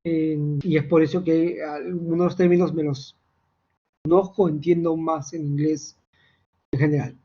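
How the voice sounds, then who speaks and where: A man's voice: a little high, a little mellow. A man teaching a finance class online, talking hesitantly with many fillers and restarts. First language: Spanish. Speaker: finance instructor. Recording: dull lo-fi call audio.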